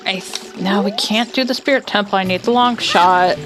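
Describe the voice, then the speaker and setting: A woman's voice: mid-range voice, moderate pace, music in the background. Chatty woman streamer thinking aloud. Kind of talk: casual game stream chat. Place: home, indoors.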